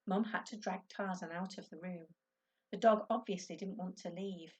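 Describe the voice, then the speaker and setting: A woman's voice: fairly low pitch, fairly even intonation, moderate pace, breathy edge. A woman teacher reading a children's story aloud expressively to her class.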